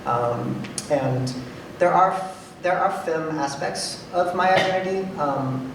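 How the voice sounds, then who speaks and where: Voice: medium pitch, slow, vocal fry. A nonbinary panelist with a masculine-sounding voice, talking casually in a hesitant way, with many ums and restarts. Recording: roomy, distant mic with noise.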